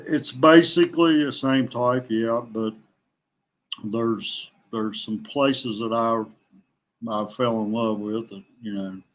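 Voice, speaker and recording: A man talking casually as a podcast guest, a veteran angler who haltingly thinks aloud as he goes. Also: medium pitch, fairly bright tone.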